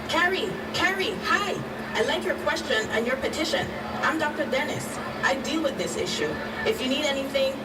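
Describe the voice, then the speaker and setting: A woman's voice: sits high, lively intonation, normal speed. An actress playing a kindly, reassuring female doctor in a radio drama. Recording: echoey, distant, loud crowd and noise.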